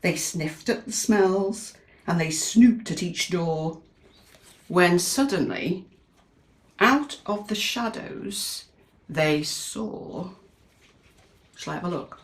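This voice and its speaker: A British woman teacher reading a picture book aloud to young children, expressive and chatty, often asking her listeners questions. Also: on the low side, slow pace, long pauses. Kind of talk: animated children's storytime read-aloud with chatty asides. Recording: echoey, reverberant room.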